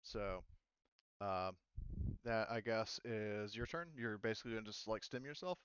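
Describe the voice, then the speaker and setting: A man's voice: fairly low pitch, normal speed, fairly bright tone, clear, resonant tone, no echo, lo-fi sound. A man speaking casually as game master of a tabletop game, explaining options in a conciliatory way.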